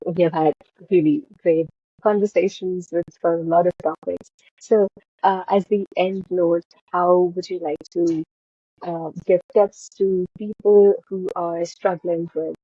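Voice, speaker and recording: A woman hosting a podcast who asks long, halting questions full of "uh" and "you know". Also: lowish voice, dark tone, slightly rough, no echo. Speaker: podcast host. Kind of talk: interviewing a guest. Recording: dull, dry lo-fi audio.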